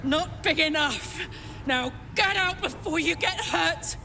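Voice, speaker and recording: A woman playing an enraged, fiery warrior, roaring defiance forcefully in dramatic video game acting. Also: very high voice, voice pushed up, exaggerated voice. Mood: roaring fury. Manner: drawn-out, forceful, gravelly delivery. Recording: long reverb over clear noise.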